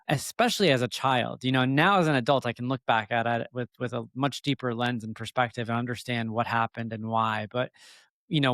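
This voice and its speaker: Male podcast guest, middle-aged, smooth clear voice, earnest reflective storyteller, measured with pauses, dry sound. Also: medium pitch, fairly bright tone, dry recording. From born in Egypt, emigrated as a child. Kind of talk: reflective personal storytelling in an interview.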